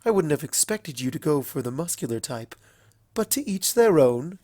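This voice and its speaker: A man voicing a wise, fond and teasing elder pony princess in a storybook reading, gentle and knowing. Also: on the high side, very animated, normal speed, dry recording, studio quality.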